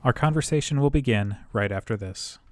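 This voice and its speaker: A man in his thirties hosting a podcast, reading a measured, earnest scripted introduction. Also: medium pitch. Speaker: podcast host.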